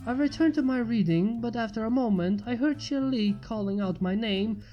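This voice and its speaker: A woman's voice: a little high, normal speed, dull audio. A woman reading a first-person fan fiction story aloud as its narrator. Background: faint music.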